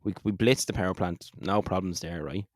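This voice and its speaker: A man's voice: low voice, a little fast. A man with an Irish accent leading a casual role-play game on a podcast, sweary and enthusiastic. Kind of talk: casual tabletop-style game narration and banter.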